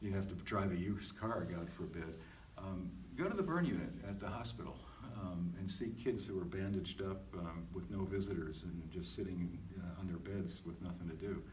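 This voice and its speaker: A middle-aged man talking casually and reflectively as a podcast co-host, halting and self-deprecating. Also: sits low. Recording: echoey, distant mic with faint noise.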